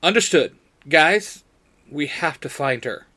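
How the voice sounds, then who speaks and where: A man voices a worried young superhero calling in trouble. His voice is raised and high for a man, with urgent, anxious delivery. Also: expressive, slow pace, bright, forceful tone, exaggerated voice. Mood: determined, worried. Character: Justin, urgent worried young male blue ranger.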